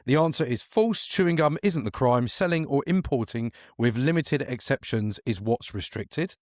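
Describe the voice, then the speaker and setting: A man hosts a casual true-or-false quiz, teasing his guests as he goes. His pitch moves up and down a lot while he reads out the questions. The sound is close and dry. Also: lively intonation, normal speed, a little mellow, no echo. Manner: playful, teasing, repeats questions. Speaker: quiz host.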